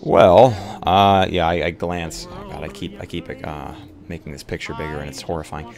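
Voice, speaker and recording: A man taking part as a player in an improvised roleplay, speaking casually in a hesitant, halting way. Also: low-pitched, fairly even intonation, vocal fry, a little mellow. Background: music.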